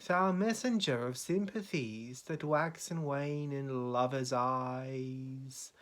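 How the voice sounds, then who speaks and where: A man reciting poetry in a solemn, measured, dramatic way, with deliberate pauses between phrases. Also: mid-range voice. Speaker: poetry reader.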